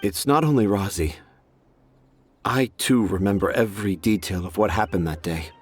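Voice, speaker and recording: A man's voice: sits low, moderate pace, faint music behind, close to an everyday voice. A man voicing a remorseful, self-deprecating storyteller father in a game. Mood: regretful. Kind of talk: game voice acting.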